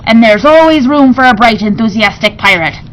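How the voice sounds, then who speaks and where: A woman's voice: a little high, expressive, normal speed, slight background noise, pitched above the performer's own voice, natural voice, rough recording. A woman voicing a bossy, menacing pirate queen in a storybook, speaking imperiously and threateningly. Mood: commanding. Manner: imperious, threatening, old-time pirate phrasing.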